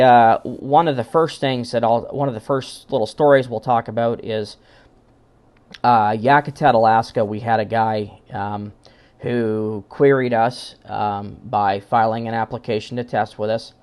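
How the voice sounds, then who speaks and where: A man giving an informal technical presentation as a conference presenter, speaking in halting phrases with frequent pauses and repeated words. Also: medium pitch, steady tone, darkish tone, clear, resonant tone.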